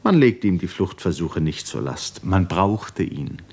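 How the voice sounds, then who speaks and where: An actor as the grave narrator of a 1950s German radio drama, telling the story in a measured, literary manner. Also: male voice, low-pitched, very animated, darkish tone, heavily acted. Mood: sober.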